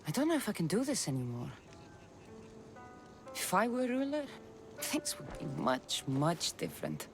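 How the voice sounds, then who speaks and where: Guarded, expressive mercenary heroine. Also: female voice, lowish voice, moderate pace, long pauses, over music. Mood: weary, reflective. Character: guarded, loyal adult female Greek mercenary hero, dry and world-weary. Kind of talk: video game cutscene acting.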